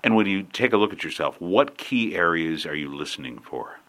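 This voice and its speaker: A man hosts a business radio talk show with a very low, deep voice. His intonation swings widely as he chats with his guests and asks them questions in a friendly, easygoing way. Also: lively intonation, moderate pace, fairly bright tone. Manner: friendly, chatty questioning with some repeated starts.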